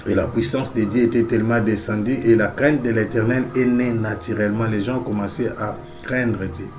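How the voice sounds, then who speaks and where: A man's voice: fairly low pitch, fairly even intonation, normal speed, music in the background. A male preacher with a cold, delivering an emphatic sermon that repeats key phrases, with frequent amens and alleluias. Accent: Central African French. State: has a cold.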